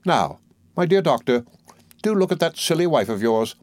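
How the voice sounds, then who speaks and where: A man doing a fussy, garrulous older mother, pitched well above his own voice, gushing and self-important as she presses her point. The recording is dry and close. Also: big pitch swings, normal speed, darkish tone, no echo. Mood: coaxing.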